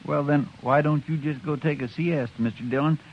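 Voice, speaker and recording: An actor playing a folksy, eager deputy. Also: male voice, a little high, brisk, close to an everyday voice. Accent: American Southern. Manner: polite, deferential, folksy drawl. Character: earnest eager-to-please deputy, young man. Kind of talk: 1950s radio drama acting. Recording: dull lo-fi old radio recording with faint hiss.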